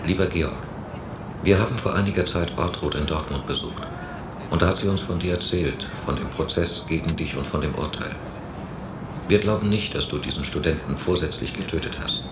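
An actor playing a brooding, doubtful traveller in a radio drama, speaking in a measured, reflective way. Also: male voice, low voice, lightly acted. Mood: measured, gentle. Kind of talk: radio drama inner monologue and dialogue. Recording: hissy cassette copy of radio drama.

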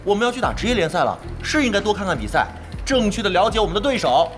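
Goofy earnest young gamer dude, voice swings all over, radio drama with music under it. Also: male voice, high-pitched, sing-song, fast talker. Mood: eager. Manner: earnest, blustering, clueless. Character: brash, naive, cheerful young male gamer sidekick. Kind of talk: audio drama acting.

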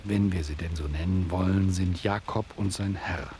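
A man playing a musing storyteller who narrates a literary radio play in a measured, theatrical way. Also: low voice, fairly even intonation, a little mellow, slight background noise, lightly acted. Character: storytelling author, musing and self-aware narrator.

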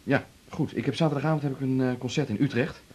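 An actor playing a calm, caring musician and father in a 1980s radio drama. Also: medium pitch, fast talker, husky, close to an everyday voice.

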